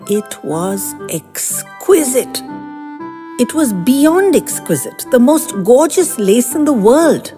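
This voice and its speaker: A woman reading first-person audio fiction in an expressive, measured, literary way with deliberate pauses. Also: medium pitch, big-room sound. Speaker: storyteller, podcast narrator.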